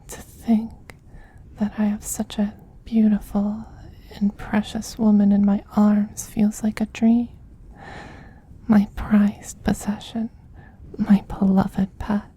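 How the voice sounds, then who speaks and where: A man playing a soothing, possessive femboy vampire queen in a soft, breathy whispered ASMR roleplay, slow and tender. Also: high voice, monotone, full character voice, rough recording. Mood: adoring, possessive. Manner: slow, tender, coaxing endearments.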